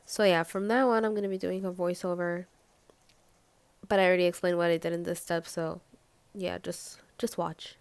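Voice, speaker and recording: A woman's voice: fairly low pitch, creaky, clear, resonant tone, no echo. A young American woman who is sick, giving a casual, matter-of-fact and apologetic makeup tutorial voiceover. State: sick with a cold. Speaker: makeup vlogger.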